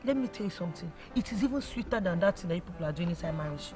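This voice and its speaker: An actress playing a flirtatious mistress who teases her lover in a coy, drawn-out way. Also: lowish voice, expressive, music in the background, close to an everyday voice.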